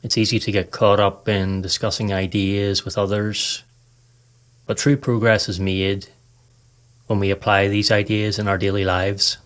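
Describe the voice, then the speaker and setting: A man narrating a scripted guided meditation in a calm, measured, instructive way. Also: on the low side. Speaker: podcast host and meditation guide.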